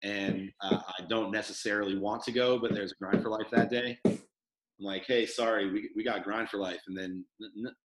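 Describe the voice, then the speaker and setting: A man's voice: fairly low pitch, steady tone, moderate pace, roomy sound, over a noisy crowd, rough recording. A man hosting a casual podcast, talking loosely with lots of fillers and restarts. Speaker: podcast host. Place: studio headquarters room.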